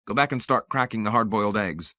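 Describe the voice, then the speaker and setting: Storybook role: man as a clipped, brooding middle-aged man, low-voiced. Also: a little fast, sharp tone, no room sound, studio quality. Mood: terse. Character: curt, tense middle-aged man set on a plan.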